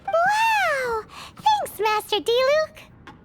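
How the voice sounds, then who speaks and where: A woman voicing a tiny, excitable, cheeky fairy girl in a video game, childlike and squeaky. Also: extremely slow, very noisy, full character voice. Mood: curious, excited. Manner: exclaims, refers to self in third person. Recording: loud music behind the voice.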